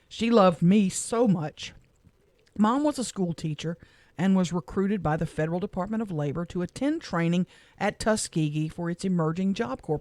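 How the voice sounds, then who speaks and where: A middle-aged woman from Louisiana, a radio co-host, speaking softly and with emotion as she reads a personal letter on air in a studio. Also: fairly low pitch, no room sound. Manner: heartfelt, soft-spoken, emotional.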